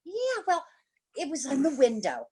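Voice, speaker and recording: A very high-pitched, naive sweetheart. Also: female voice, huge pitch swings, moderate pace, warm tone. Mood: chatty, gushing. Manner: girlish, hesitant, gushing. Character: naive, chatty, easily frightened young wife or girlfriend. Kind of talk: 1940s radio drama acting.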